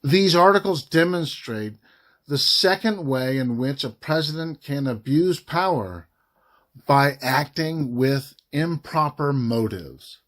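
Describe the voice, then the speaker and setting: Guy reading an official document out loud, really slow, kinda higher voice, stops between phrases. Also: lively intonation. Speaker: reader of a government report. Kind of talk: reading a formal document aloud.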